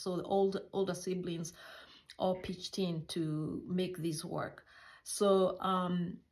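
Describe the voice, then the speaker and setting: A middle-aged woman with a Kenyan accent telling personal stories in a halting, reflective way, searching for words; English is not her first language. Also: fairly low pitch. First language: a Kenyan mother tongue. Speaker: healthcare worker recounting her life. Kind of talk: personal storytelling.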